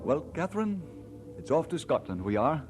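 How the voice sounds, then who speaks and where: Earnest minister with expressive pitch. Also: male voice, moderate pace, over loud music. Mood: happy, affectionate. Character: earnest, devoted Scottish-born minister and husband. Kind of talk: old-time radio drama acting.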